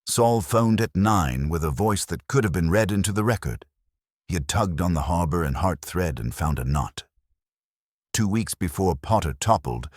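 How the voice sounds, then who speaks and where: A man's voice: a bass voice, slightly rough, no echo, clean studio sound. A man narrating a scripted first-person detective story in a wry, hard-boiled manner. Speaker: audiobook narrator.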